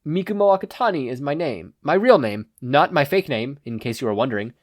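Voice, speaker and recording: Man acting as nervous teen boy, earnest, awkward, higher pitched, storybook character, close dry sound. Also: expressive, a little fast, no room sound, lightly acted. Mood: eager, nervous. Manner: hesitant, earnest. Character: nervous teenage boy infiltrating a gang, polite and awkward.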